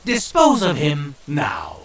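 A man playing a cold, mocking, commanding demon crime boss with a creaky voice in an audio comic dub. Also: fairly high pitch, wildly swooping pitch, slow pace, far from the mic, heavily acted. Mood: cold menace.

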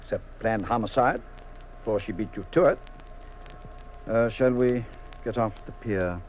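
A man's voice: lowish voice, unhurried, a little mellow, lightly acted. A dull, slightly noisy vintage radio recording carries a man acting as a police inspector. He sounds dry, authoritative and matter-of-fact. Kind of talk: 1950s radio mystery acting.

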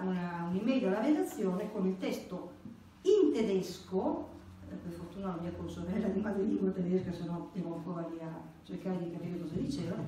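Echoey, mellow middle-aged woman lecturing. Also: medium pitch. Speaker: conference speaker. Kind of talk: explanatory religious lecture, partly read from notes. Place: indoor church meeting room. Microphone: stand microphone. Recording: very echoey room, distant, faint hiss.

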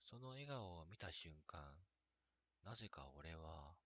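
A man narrating a scripted first-person story in a measured, hushed way. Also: low voice, lively intonation, lo-fi sound. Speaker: reader of own horror and drama stories.

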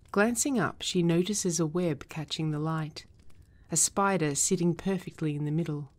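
Casting: female script narrator, deep for a woman, unhurried, measured reading of stage directions. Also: darkish tone. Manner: measured, descriptive.

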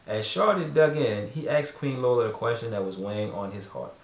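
A man's voice: mid-range voice, animated, fairly quick. A man narrating a children's storybook as a father reading aloud at home, away from the microphone. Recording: echoey room, distant mic.

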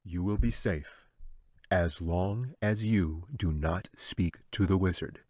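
A man's voice: low-pitched, slow, darkish tone, dry recording, studio quality. A man voicing a stern, protective male angel in a storybook, firm and grave. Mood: solemn.

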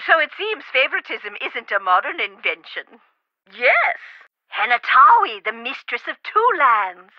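A chatty, whimsical older woman who rambles about food and puzzles. Her voice sits high and swoops around a lot. It comes through a dull, phone-like line with no room sound. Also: sing-song, unhurried, no room sound, full character voice. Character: fussy food-loving older woman helper. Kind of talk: game voice acting.

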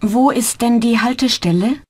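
A woman's voice: a little high, normal speed, slightly rough. Woman voicing a polite young student. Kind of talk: slow scripted learner dialogue.

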